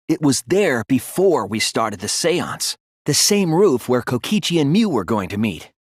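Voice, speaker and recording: A man voicing an earnest, thoughtful teenage boy detective in an English video game dub, reasoning deliberately through his lines. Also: medium pitch, husky, no room sound, clean studio sound.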